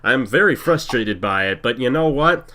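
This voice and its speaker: A man with a congested voice from a cold, calling a simulated stock car race as play-by-play host in an energetic, sing-song announcer style. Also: mid-range voice, moderate pace. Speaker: play-by-play commentator. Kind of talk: sim racing broadcast commentary.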